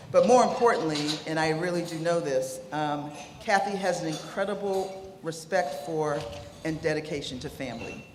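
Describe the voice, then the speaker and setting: A woman introducing panel guests and the moderator at an event, reading with small slips. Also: low voice. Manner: warm, slightly fumbling introductions. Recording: echoey hall with background noise.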